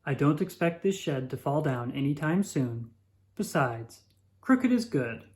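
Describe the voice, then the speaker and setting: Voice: mid-range voice, lively intonation, unhurried, darkish tone, lightly acted. A storybook narrator voicing a practical, playful dad with a plain, reassuring manner. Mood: calm, reassuring.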